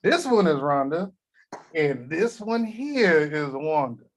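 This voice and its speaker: An actor at a table read playing a boastful, crude, newly rich grandfather, talking in a slow drawl. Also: on the high side, big pitch swings, heavily acted.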